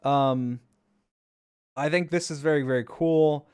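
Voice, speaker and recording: A man's voice: a little high, fairly even intonation, a little slow, a little mellow, clean tone. A man casually commenting on his own gameplay clips during a stream, rambling and repeating himself with enthusiasm.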